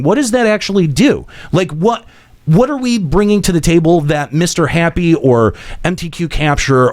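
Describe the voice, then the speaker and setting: A man with a slightly high voice hosts a casual podcast. He talks emphatically and bluntly, giving strong opinions in an unscripted, sometimes profane style. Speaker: podcast host. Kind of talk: casual opinionated talk show.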